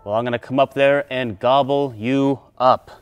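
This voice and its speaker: A man voicing a mean, lumbering bridge troll who speaks in a slow, threatening way for a children's story. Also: medium pitch, fairly even intonation, smooth, lightly acted.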